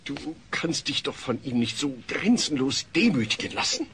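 A man's voice: medium pitch, very animated, moderate pace, fairly bright tone, a little hiss or hum. A man playing an earnest, kindly middle-aged father in a German audio drama. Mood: earnest pleading.

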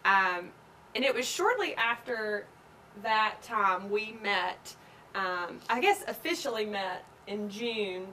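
A woman's voice: medium pitch, lively intonation, slow, sharp tone, slight background noise. A young woman in her twenties from Georgia, a teacher, chatting through her story as an interview guest, with many ums and quoted remarks. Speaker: guest, schoolteacher. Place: living-room style studio set. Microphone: lapel mic.

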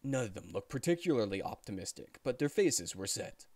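A young man streaming, narrating and chatting casually in a playful, animated manner. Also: on the low side, a little fast, dry recording. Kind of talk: casual livestream chat and game narration.

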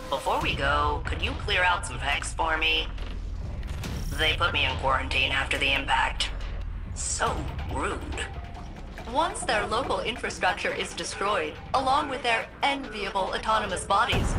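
A woman's voice: medium pitch, natural voice. An actress voicing a quirky, cheerful female ship AI in a video game, with a chirpy, playful delivery. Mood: upbeat. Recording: game audio with loud music.

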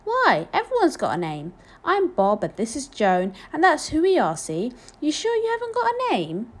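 Woman as old male cobbler, storybook character, friendly, inquisitive, colloquial, animated. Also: high-pitched, normal speed, pitched above the performer's own voice. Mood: friendly, curious. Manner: homely, colloquial, questioning.

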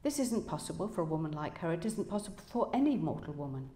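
A woman's voice: fairly low pitch, big pitch swings, fast talker, mellow. Woman reading as an anxious, earnest man in love, echoey. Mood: shocked, disbelieving. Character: anxious man in love, trying to sound authoritative. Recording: echoey room, public reading.

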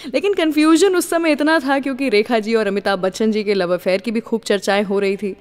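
A woman's voice: fairly high pitch, brisk, smooth, long reverb tail. An Indian woman hosting a radio show, telling stories to listeners in a scripted style and mixing in English phrases. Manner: mixes English words and phrases into the talk.

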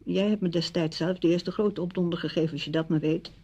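A woman's voice: on the low side, fairly even intonation, brisk, warm tone, slightly rough. An actress in a radio drama playing a bitter, wounded single woman confronting her friend with intense reproach. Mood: bitter, reflective. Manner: reproachful, emotionally intense monologue.

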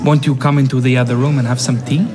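A man's voice: medium pitch, flat delivery, fast talker, lightly acted. A courtly young Italian suitor in a radio play, acted by a man. He is polished and polite, with music behind his voice. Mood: smooth, courteous. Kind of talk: radio drama acting. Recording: music under the dialogue.

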